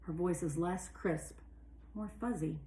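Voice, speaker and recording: A woman reading a children's novel aloud with animated, deliberate storytelling in a roomy recording. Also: on the low side. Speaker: read-aloud narrator. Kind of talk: expressive children's audiobook narration. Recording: roomy, echoey sound.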